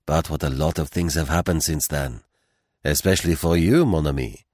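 A man's voice: very deep voice, moderate pace, a little mellow, dry recording, clean studio sound, pitched below the performer's own voice. A man voicing a fussy, dignified Belgian detective with a French accent and a precise, theatrical, philosophical manner, in a mystery audiobook.

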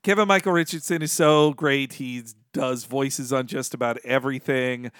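A man co-hosting a podcast, chatting casually and enthusiastically, quick to laugh. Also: medium pitch, fairly even intonation, fairly bright tone, smooth, no echo, studio quality. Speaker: podcast co-host. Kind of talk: casual chat recounting a fan outing.

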